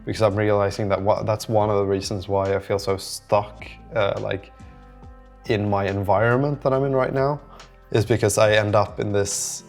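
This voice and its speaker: Male vlogger, low gravelly voice, casual unscripted rambling, music underneath. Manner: rambling, thinking aloud. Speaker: solo app developer vlogger. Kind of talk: unscripted casual vlog monologue.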